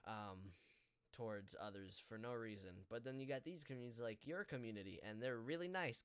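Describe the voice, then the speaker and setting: Male podcast host, clean smooth tone, informal live chat, meandering and halting in his phrasing. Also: mid-range voice. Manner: hesitant, rambling, often trailing off mid-thought. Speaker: podcast host and amateur voice actor.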